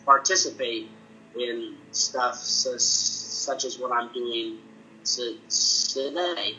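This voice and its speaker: Young man with a stutter, sharp bright timbre, earnest personal testimony. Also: mid-range voice, very slow, lo-fi sound, slight background noise. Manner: stutters, with repeated sounds and restarts. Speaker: person sharing his experience.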